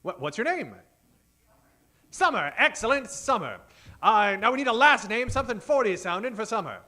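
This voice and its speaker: A man with a very high, animated voice plays emcee in 1940s radio style. He projects like a showman from a theater stage, improvising his patter as host of a live comedy show. The tone is bright and forceful. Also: normal speed.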